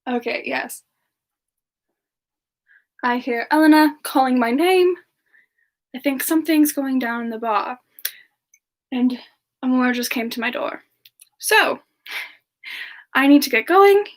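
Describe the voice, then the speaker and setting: A woman hosting a semi-ASMR question session, chatting casually in a soft-spoken, gentle and affectionate manner. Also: high voice, lively intonation, slow, pauses often. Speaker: ASMR channel performer hosting a live Q&A in character.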